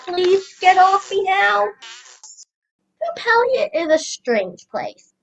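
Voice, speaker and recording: A woman's voice: very high-pitched, big pitch swings, slow pace, creaky, fairly bright tone, highs cut off, exaggerated voice. A young female student playing a snarky, easily grossed-out older sister in a children's radio play. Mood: panicked.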